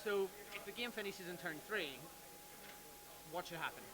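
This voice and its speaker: A man explaining how a tournament rules pack was written, in a measured way. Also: high-pitched, expressive, over music, low-quality recording. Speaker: event organizer. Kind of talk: explaining in casual talk. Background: crowd chatter.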